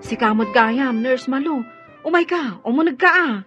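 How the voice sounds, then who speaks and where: An actress playing a polite, chatty household maid in a radio drama. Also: female voice, high voice, lively intonation, moderate pace, a bit bright. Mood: polite, welcoming. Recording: dull radio sound, faint music.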